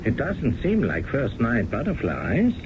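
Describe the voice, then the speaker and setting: An actor playing a fussing, theatrical stage director in a 1970s radio drama, doting and given to chuckles. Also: male voice, mid-range voice, wildly swooping pitch, unhurried. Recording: muffled old radio broadcast with hiss.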